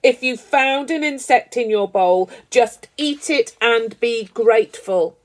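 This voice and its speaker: A woman voicing a stern, bossy nun who scolds orphans in a children's storybook. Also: slow. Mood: stern scolding. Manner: booming, bossy. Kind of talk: children's storybook read aloud.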